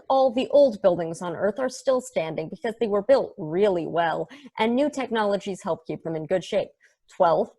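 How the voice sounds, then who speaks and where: Space probe, female actor, medium pitch, chatty, blunt, casual and profane explainer for an amateur table read. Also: a little mellow. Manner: casual, profane, rattles through a numbered list.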